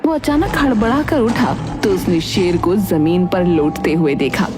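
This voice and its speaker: A woman's voice: fairly low pitch, a little slow, heavy background noise. A woman giving scripted storybook narration for a cartoon tale.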